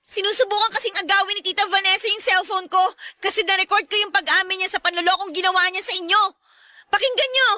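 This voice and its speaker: Feisty young daughter in radio melodrama: very high, sharp, accusing. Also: female voice, steady tone, fast, heavily acted. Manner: accusing, emphatic. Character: indignant, combative young daughter standing up to her stepmother. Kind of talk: melodramatic Tagalog radio drama acting.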